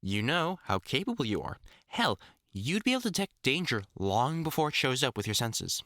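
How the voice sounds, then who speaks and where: Man acting a bright-voiced, caring vampire hunter. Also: medium pitch, big pitch swings, moderate pace, no room sound, studio quality. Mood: encouraging, teasing. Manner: informal, reassuring, dryly joking, mild swearing. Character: older experienced male vampire hunter, gruff but caring mentor. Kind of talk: intimate one-sided audio roleplay, conversational acting.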